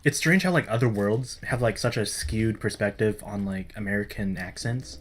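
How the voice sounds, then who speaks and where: Low, monotone young man. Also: normal speed. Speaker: co-host. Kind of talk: casual chat. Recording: faint music underneath.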